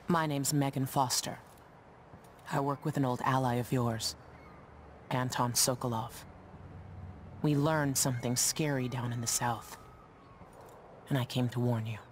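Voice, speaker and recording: An actress as a frank female ship captain in a video game. Her voice is very low for a woman and matter-of-fact. There is slight noise in the background. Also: fairly even intonation, a little slow, pauses often. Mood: frank, dry.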